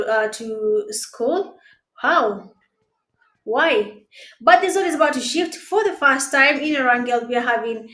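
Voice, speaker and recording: A Kenyan woman whose first language is Swahili, giving live esports commentary in a conversational, hesitant way with pauses. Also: a little high, fairly bright tone, a little hiss or hum. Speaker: esports caster. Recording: roomy, echoing sound.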